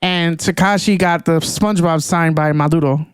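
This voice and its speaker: A young man co-hosting a casual podcast chat, excitable and slangy, calling people "bro" a lot. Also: on the high side, normal speed, clear, resonant tone, dry recording, clean studio sound. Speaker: podcast co-host.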